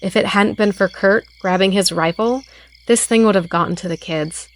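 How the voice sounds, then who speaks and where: Woman as a level-headed, mid-pitched small-town sheriff. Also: moderate pace, lightly acted. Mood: uneasy, decisive. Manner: matter-of-fact, thoughtful. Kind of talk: scripted audio drama.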